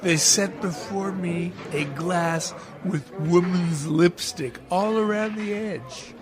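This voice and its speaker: A man acts out a drunken, long-winded sidekick from an old radio detective play. His voice sits a little high and moves slowly. He rambles with wry, theatrical philosophizing. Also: a crowd in the background. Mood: rambling, wry. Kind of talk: old-time radio detective drama acting.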